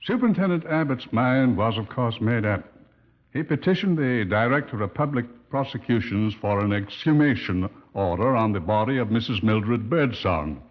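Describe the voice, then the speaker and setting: Actor as assured, animated police curator, old radio. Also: male voice, medium pitch, normal speed, natural voice. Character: assured police superintendent and museum curator introducing cases. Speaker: actor playing the host superintendent. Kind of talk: 1950s radio drama narration. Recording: dull vintage 1950s radio recording.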